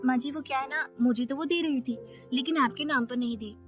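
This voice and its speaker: A woman plays an innocent young daughter-in-law from a cartoon moral tale. The voice is high and candid, stating the plain truth matter-of-factly, over background music. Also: fairly quick, close to an everyday voice. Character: guileless, honest young daughter-in-law who cannot lie.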